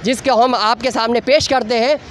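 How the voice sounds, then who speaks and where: Male news reporter, strikingly high pitch, repetitive off-the-cuff commentary, slight ambient noise behind. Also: fairly even intonation, moderate pace.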